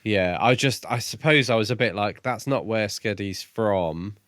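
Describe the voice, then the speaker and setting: A man's voice: lowish voice, moderate pace, a bit bright, no echo, studio quality. A man chatting casually as a podcast co-host, tentatively thinking aloud about a book. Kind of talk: casual book discussion chat.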